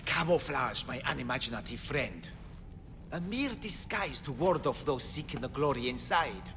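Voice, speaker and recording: A man's voice: medium pitch, animated, normal speed, big-room sound, background noise, lightly acted. An actor playing an eccentric, grandiose man who proclaims himself a god, in a game cutscene. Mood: scornful. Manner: grandiose, theatrical, manic. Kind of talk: video game cutscene acting. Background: orchestral game music.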